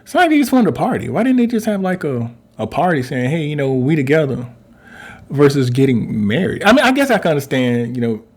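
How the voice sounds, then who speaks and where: A man's voice: on the high side, sing-song, fairly quick, breathy edge. A man in his forties, a relationship coach co-hosting, who talks casually, thinks aloud and fills his speech with "um" and "you know". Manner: thinks aloud with many fillers, polite. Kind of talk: casual conversational chat.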